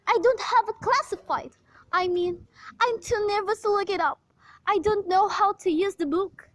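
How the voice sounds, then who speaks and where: A woman's voice: very high-pitched, moderate pace, a bit bright, no echo. A woman playing a frantic, demanding and self-pitying invalid wife in an amateur radio play, speaking with agitated, pleading melodrama. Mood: anxious, demanding.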